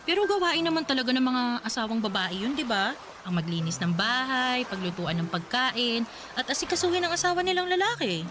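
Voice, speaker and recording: A woman's voice: high voice, animated, fairly quick. Woman acting sweet teasing young wife in a radio drama, background noise behind her. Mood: playful.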